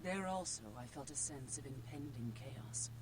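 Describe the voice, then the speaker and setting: A woman's voice: sits low, moderate pace, close to an everyday voice. A woman voicing an ancient, cryptic female vampire in a video game, delivering solemn, ominous warnings. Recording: game audio with humming noise.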